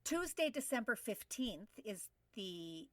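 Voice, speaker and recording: A middle-aged woman with a medium-pitched voice co-hosts a chatty interview. She is effusive and enthusiastic, recorded on a video call with a dry, somewhat distant sound. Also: very animated, slow pace, fairly bright tone, dry recording. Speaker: podcast co-host. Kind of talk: casual conversational interview. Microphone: video call microphone.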